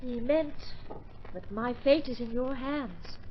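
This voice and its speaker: A tender diva mother in an old radio drama. Her voice is fairly high and very expressive, gently coaxing, on a muffled recording with slight noise. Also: slow. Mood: torn, earnest. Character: famous soprano and tender, torn mother. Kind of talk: mid-century Australian radio drama acting.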